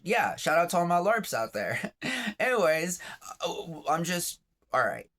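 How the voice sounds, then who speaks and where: A man's voice: fairly high pitch, very animated, a little slow, a bit bright. A man in his thirties hosting his own vlog from his bed, talking in a chatty, rambling, self-deprecating way with lots of asides. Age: 30s. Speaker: vlogger, channel host. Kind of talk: rambling casual vlog monologue. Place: on his bed at home, just woke up.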